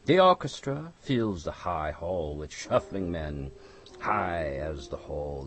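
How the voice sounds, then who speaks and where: Slow, dramatic man reciting poetry over music. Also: sits low, darkish tone. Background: music behind the voice.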